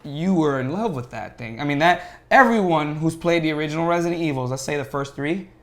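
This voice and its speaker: A man's voice: fairly high pitch, expressive, brisk, fairly bright tone. A man in casual, opinionated podcast conversation, speaking with emphasis as one of the panelists. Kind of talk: casual chat.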